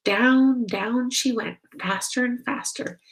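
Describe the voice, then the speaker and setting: Lady reading a kids' picture book, slow n gravelly, kinda high voice. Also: poor audio. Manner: slow, expressive, pausing to ask young listeners questions. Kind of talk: children's read-aloud narration.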